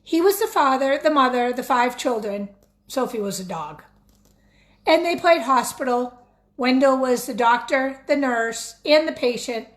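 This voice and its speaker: A woman teacher reading a picture book aloud expressively, pausing to put questions directly to young listeners. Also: high-pitched. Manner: expressive, addresses children directly.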